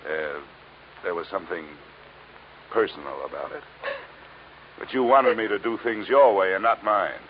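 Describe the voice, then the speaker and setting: A tough sardonic american private investigator, really low voice, blunt and wry, muffled old radio show. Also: male voice, animated, moderate pace, pauses often, slight background noise. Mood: bitter, blunt. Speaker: lead detective and narrator. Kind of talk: 1950s radio detective acting.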